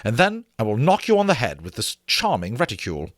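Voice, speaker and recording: A man's voice: medium pitch, huge pitch swings, fairly quick, no room sound, clean studio sound. A man voicing a blunt, hearty, teasing woman captain in a storybook reading. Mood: brash, teasing.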